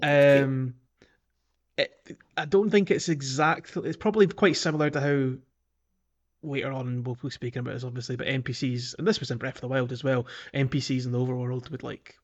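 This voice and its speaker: A man's voice: mid-range voice, fairly even intonation, a little fast, highs cut off. A man co-hosting a gaming podcast in casual conversation, jokey and given to chuckling. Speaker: podcast co-host.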